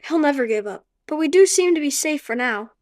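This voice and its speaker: A young orphan boy, high pitched and earnest, kinda urgent, radio play. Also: expressive, brisk, darkish tone.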